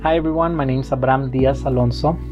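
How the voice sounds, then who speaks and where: A man in his twenties, originally from Mexico, speaking as a guest on a casual podcast. His voice sits at a middling pitch, and he talks in an easygoing, thoughtful way with a bit of dry humor. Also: fast, dull audio, music in the background. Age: 20s. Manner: relaxed, joking, thoughtful. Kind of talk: casual interview conversation.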